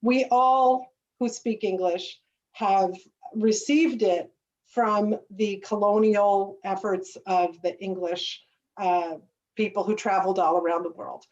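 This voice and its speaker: An American woman giving a friendly, measured talk to students over a webinar. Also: mid-range voice, steady tone, darkish tone. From United States. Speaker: guest speaker. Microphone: video call. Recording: distant, dull lo-fi webinar audio.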